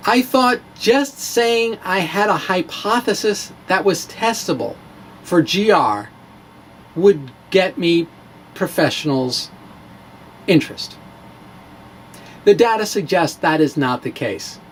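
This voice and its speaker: A man giving a theatrical, emphatic dramatic reading of a science blog, with deliberate pauses. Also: high voice, animated, slight background noise. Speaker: science blogger and presenter.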